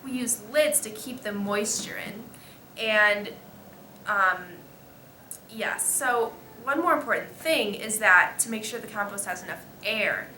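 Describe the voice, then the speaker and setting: A female student giving halting, scripted explanations as an expert guest in a mock interview. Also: medium pitch, sharp tone. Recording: echoey room with faint noise.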